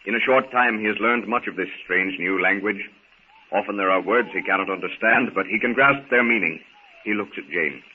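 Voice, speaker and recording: A man announcing and narrating a 1930s radio serial from a script, with theatrical, deliberate, dramatic storytelling. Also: fairly low pitch, husky. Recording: tinny, noisy 1930s radio recording.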